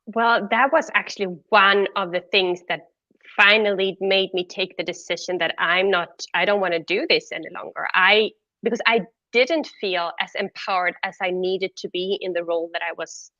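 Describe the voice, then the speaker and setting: A Swedish-accented woman with a bright, forceful voice answers interview questions openly and candidly. The sound is dry and slightly muffled, like call audio. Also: mid-range voice, fairly even intonation, normal speed, no room sound. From Sweden. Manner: open and candid, laughing easily. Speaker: guest, entrepreneur.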